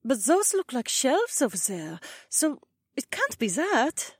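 A woman's voice: sits high, very animated, takes it slowly, husky, no room sound, studio quality, exaggerated voice. A woman voicing a curious, practical young drake woman in audiobook dialogue.

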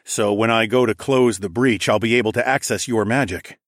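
Man as dragon lord hero, fantasy audiobook, frank, casual, confident, somewhat deep, dry close recording. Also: moderate pace, dry recording, lightly acted. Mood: explaining. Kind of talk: audiobook character dialogue.

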